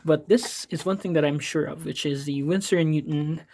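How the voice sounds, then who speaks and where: A man's voice: a little high, moderate pace, creaky, a little mellow. A man with a Filipino accent, from the Philippines, chatting casually in an art supplies vlog. He rambles, fills his talk with ums, and corrects himself. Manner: rambling, filler-heavy, self-correcting, apologetic asides. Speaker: art vlogger.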